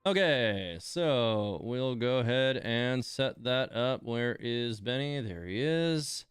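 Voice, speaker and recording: A man streaming a video game, thinking aloud in a relaxed, informal way as he gives casual live commentary. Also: mid-range voice, takes it slowly, clear, resonant tone, no room sound, clean studio sound, soft background music.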